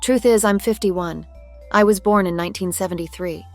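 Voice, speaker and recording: A woman voicing a genial, gently teasing middle-aged military cargo plane in genteel, scripted cartoon dialogue. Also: medium pitch, moderate pace, soft background music, natural voice.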